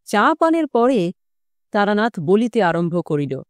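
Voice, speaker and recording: Female storyteller, mid-pitched, audiobook narration in a very dry acoustic. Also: big pitch swings, a little slow, dry recording.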